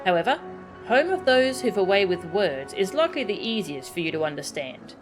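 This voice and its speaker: A woman's voice: medium pitch, sing-song, brisk, lightly acted. A woman voicing a courteous, florid taxonomist from a video game, proud and formal in her wordy phrasing. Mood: proud, welcoming. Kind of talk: video game dialogue read aloud. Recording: game music behind the voice.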